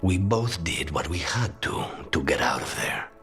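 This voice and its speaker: An actor playing a weary, dry, demon-possessed assassin in a video game, low and breathy, speaking in a measured, understated way. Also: male voice, sing-song, a bit bright, faint music behind, heavily acted. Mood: brooding, guarded. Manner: measured, understated, dryly menacing. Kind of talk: video game dialogue acting.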